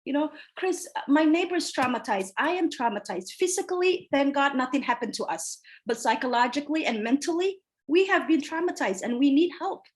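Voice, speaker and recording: A woman's voice: sits high, expressive, moderate pace, few pauses. A woman in her forties from Somalia, a city council candidate answering interview questions earnestly over a video call. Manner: earnest, emphatic, repeats key phrases.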